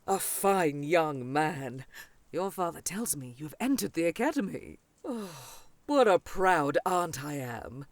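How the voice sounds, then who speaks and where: Woman acting a low-voiced, doting noble aunt. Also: sing-song, a little slow, no echo, full character voice. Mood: doting, proud. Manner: formal, stately, affectionate. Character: gracious, doting noble aunt of mature years. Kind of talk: fantasy audio drama acting.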